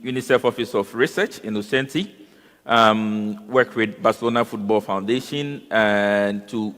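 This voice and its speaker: A man speaking on a conference panel, often pausing with fillers and repeating words. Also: mid-range voice, a bit bright. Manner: halting, repeats words and fills with "you know" and "um". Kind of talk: panel discussion. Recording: long hall reverb.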